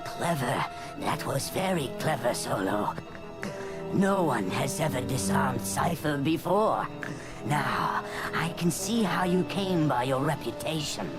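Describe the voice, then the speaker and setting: An actress playing a cold, gloating villain in a space opera audio drama, with a menacing, sneering delivery. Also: female voice, sits low, animated, slow, loud music behind the voice. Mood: smug, menacing. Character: cold gloating villain Cipher, outlaw boss with a blaster.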